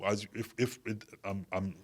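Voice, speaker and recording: A man's voice: lowish voice, fairly even intonation, unhurried, slight background noise. A man, hosting a casual panel chat and questioning ideas as he thinks aloud.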